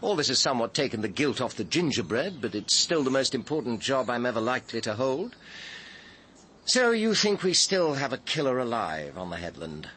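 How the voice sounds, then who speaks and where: A man's voice: mid-range voice, big pitch swings, normal speed, fairly bright tone, slight background noise. An actor playing a reserved, self-important professional man in a radio drama. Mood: cool, reflective.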